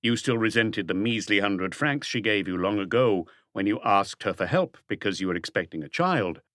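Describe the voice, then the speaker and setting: A man's voice: lowish voice, expressive, moderate pace, a bit bright, no room sound, clean studio sound. A man voicing a probing, persistent police detective who presses firmly with pointed questions in an audiobook mystery.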